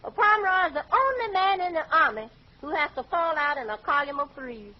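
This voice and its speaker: A woman's voice: extremely high pitch, lively intonation, brisk. A woman playing a sassy, devoted household maid in a 1940s radio comedy. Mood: chatty. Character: sassy household maid, fond of her mistress. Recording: dull, faintly noisy old radio recording.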